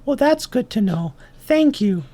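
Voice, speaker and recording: A man voicing a tiny young runt ant, polite and naively earnest, with a thin squeaky voice in a comic story. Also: sing-song, measured pace, dark tone, exaggerated voice. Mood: wistful.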